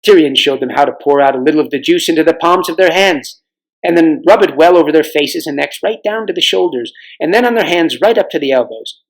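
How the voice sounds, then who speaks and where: A man's voice: fairly high pitch, a little fast, poor audio. A man reading a storybook aloud as narrator. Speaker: volunteer storybook reader.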